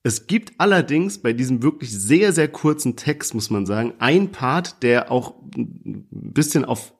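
A man's voice: medium pitch, animated, a little slow, vocal fry, breathy edge. A young man co-hosting a casual podcast chat, hedging his views in a slangy, easygoing way. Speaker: podcast co-host. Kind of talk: casual chat about rap releases.

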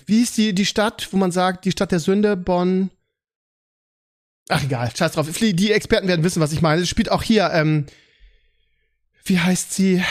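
A man hosting a podcast in casual chat, rambling along and often halting to search for words and correct himself. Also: high-pitched. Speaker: podcast host.

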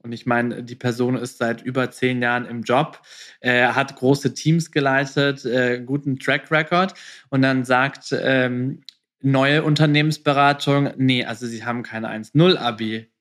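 A man's voice: mid-range voice, flat delivery, a little slow, fairly bright tone. Male podcast host, mid-range voice, informal interview style with English business buzzwords sprinkled in. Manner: informal, mixes English business jargon into his speech.

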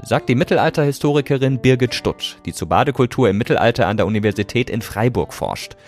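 A man's voice: mid-range voice, animated, fast talker, a little mellow, faint music behind. A man hosting a podcast, reading scripted narration in a conversational way that addresses listeners directly. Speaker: podcast host.

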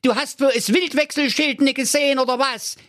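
A man voicing a foul-mouthed hare and hedgehog, squeaky, crude and cursing. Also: steady tone, fairly quick, fairly bright tone, no room sound, studio quality. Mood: indignant.

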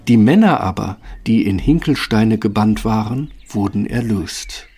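A man's voice: on the low side, animated, unhurried, dark tone, slightly rough. A man narrating a scripted fairy tale as storyteller. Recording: music underneath.

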